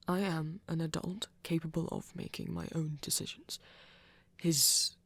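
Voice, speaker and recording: Woman playing a low, breathy gentleman vampire. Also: no room sound, exaggerated voice. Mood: resolute, candid. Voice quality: breathy, whispery. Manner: formal, careful diction without contractions, gently teasing. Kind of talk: intimate ASMR audio roleplay.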